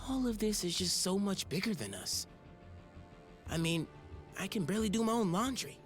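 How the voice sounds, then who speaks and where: A man's voice: high voice, lively intonation, normal speed, soft background music. A man playing an unsure, modest young superhero in a video game, casual and self-deprecating. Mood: glum, unsure. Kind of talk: video game cutscene acting.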